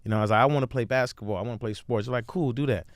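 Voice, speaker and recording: A young man from Florida, a guest in a casual interview, laid-back and quick to agree. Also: mid-range voice, no echo. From Jacksonville, Florida. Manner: laid-back, repeats short affirmations. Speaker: actor, guest.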